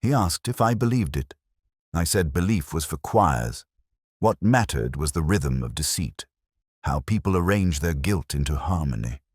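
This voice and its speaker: A man narrating a first-person detective story in a measured, brooding, literary manner, as a world-weary investigator. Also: very deep voice, animated, slightly rough, no echo, clean studio sound. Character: world-weary, reflective male police detective. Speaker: audio drama narrator.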